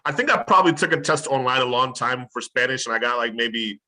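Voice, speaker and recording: A man with an American accent talks through a casual interview in an easy, agreeable manner. His voice has a bright, forward tone at a middling pitch. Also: fast talker. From Chicago, USA. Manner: relaxed, agreeable, storytelling. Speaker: interview guest, polyglot. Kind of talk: casual conversation.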